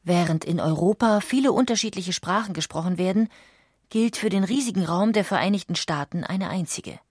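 A woman's voice: lowish voice, a little fast, a little mellow, breathy edge, no echo, studio quality. A woman reading scripted narration in the manner of a documentary audiobook.

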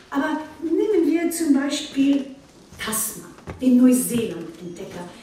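A woman's voice: a little high, darkish tone, close to an everyday voice. A woman playing a chatty, proud museum guide giving an informal tour in a radio play. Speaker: exhibition guide. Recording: very echoey room, distant, faint noise.

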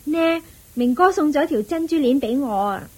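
An actress playing a gentle, polite young noble wife in a radio drama, with a Hong Kong Cantonese accent. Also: female voice, sits high, moderate pace, natural voice.

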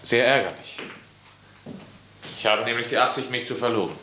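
A man's voice: medium pitch, normal speed, pushed and bright, slight background noise, close to an everyday voice. A young man playing a pale, self-concerned officer son who fusses over the family name. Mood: fussy. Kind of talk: radio play acting. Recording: echoey studio room.

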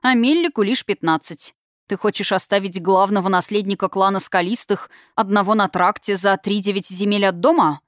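A woman's voice: medium pitch, moderate pace, no echo, studio quality, lightly acted. A woman voicing a stern, protective young male eagle shifter who speaks with reproachful authority in a fantasy audiobook.

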